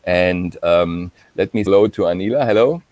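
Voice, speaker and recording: A man's voice: deep voice, moderate pace, darkish tone, dry recording. A man hosting an informal video-call panel, talking hesitantly with many ums and uhs. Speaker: panel host. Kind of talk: informal online panel talk.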